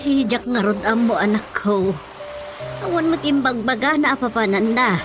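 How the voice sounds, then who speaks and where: A woman's voice: on the high side, expressive, normal speed, close to an everyday voice. A woman playing a practical, reassuring mother from a village in a radio drama. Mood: concerned. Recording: music bed under voice. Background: music.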